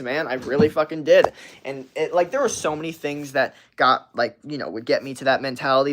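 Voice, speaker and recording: A man in his twenties, hosting a livestream, telling a story in a profane, emphatic and rambling way. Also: medium pitch. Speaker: livestream host. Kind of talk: casual story time on a livestream.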